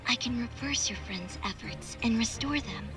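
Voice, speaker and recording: A woman's voice: a little high, normal speed, natural voice. A woman voicing a solemn, calculating young female artificial intelligence in a video game, formal and detached. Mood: solemn, measured. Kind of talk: video game cutscene acting. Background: music.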